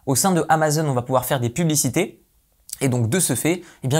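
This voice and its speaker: A man in his thirties giving a brisk, explanatory tutorial to camera, seated indoors in a dim room at home. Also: on the high side, darkish tone. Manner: brisk, explanatory, repeats "et donc de ce fait". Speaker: online business coach.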